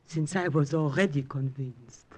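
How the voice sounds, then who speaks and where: Shrewd Mother Superior, low female voice, composed, formal, protective, 1940s radio play. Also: a little slow, loud music behind the voice. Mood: calm, assured. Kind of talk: 1940s radio drama acting.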